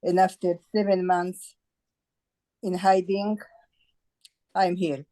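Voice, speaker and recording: An elderly woman with a Slovak accent recounts her own life. Her voice is soft-edged and relaxed, and she searches for words in her second language as she gives testimony. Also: lowish voice, low-quality recording. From Bratislava, Slovakia. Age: 80s. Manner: halting, searching for words in non-native English. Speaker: Holocaust survivor giving testimony. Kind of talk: personal testimony in a group discussion.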